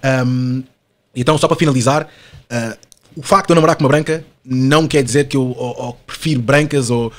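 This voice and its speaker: A man in his twenties with a European Portuguese accent, talking as a podcast guest in an animated, slangy way with lots of fillers and tag questions. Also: mid-range voice, a little fast, dry recording. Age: 20s. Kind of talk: casual podcast conversation.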